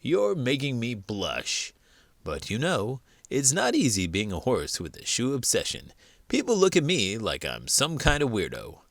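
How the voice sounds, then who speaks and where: A man's voice: mid-range voice, huge pitch swings, a little slow, vocal fry, fairly bright tone, dry recording, pitched above the performer's own voice, exaggerated voice. A man voicing a cheerful talking horse puppet in a playful, encouraging children's skit. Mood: playful proud.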